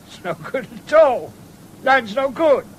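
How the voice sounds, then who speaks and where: An actor playing a hard-drinking, evasive farmer with a rural English accent in a 1970s radio drama, muddled and stalling. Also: male voice, extremely high pitch, sing-song, takes it slowly, exaggerated voice, poor audio. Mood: muddled, bitter. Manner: muddled, stalling, repeating himself. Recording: hissy old radio broadcast recording.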